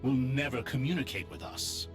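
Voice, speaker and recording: An actor playing a sardonic, theatrical saintly lord on a mythic council in a video game. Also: male voice, medium pitch, normal speed, soft background music, lightly acted. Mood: sardonic, pompous. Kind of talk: dramatic video game voice acting.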